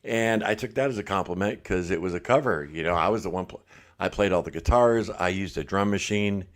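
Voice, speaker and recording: A man's voice: low-pitched, fairly quick. A middle-aged man hosting a casual livestream, chatting and reading comments, a little congested. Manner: relaxed, chatty, laughs often. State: congested, blocked ear. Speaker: livestream host.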